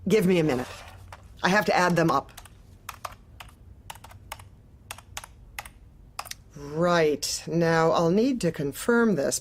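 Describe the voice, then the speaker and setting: Female office manager: lowish voice, lively swoops in pitch, polite but prying, bureaucratic, dark comedy audio drama. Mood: firm, officious. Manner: polite, probing, businesslike, bureaucratic.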